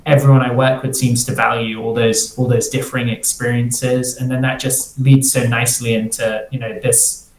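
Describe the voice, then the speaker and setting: A man's voice: medium pitch, little pitch movement, moderate pace. A man who grew up in Singapore, a freelance developer, talking casually in an interview and rambling with many restarts. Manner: rambling, restarts often, fills with "like". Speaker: guest, freelance front-end developer. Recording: roomy echo, faint ambient noise.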